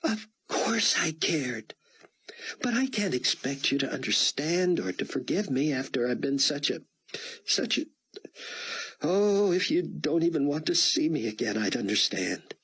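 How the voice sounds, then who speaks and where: A teary young woman with a soft, whispery, breathy voice , as a male narrator puts her on. She sounds raised and lifted, with lively swoops in her lines. The audiobook reading stays intimate and quiet. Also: a little high, moderate pace, voice pushed up, exaggerated voice. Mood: tearful. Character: young woman, tearful and apologetic. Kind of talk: audiobook character voice.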